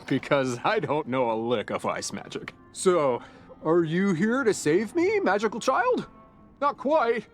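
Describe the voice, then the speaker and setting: High-pitched, jittery cowardly townsman. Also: heavily acted. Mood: panicky, suspicious. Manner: jittery, theatrical, wheedling. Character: cowardly, greedy, shifty male townsman. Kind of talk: video game character acting. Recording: game music behind the voice.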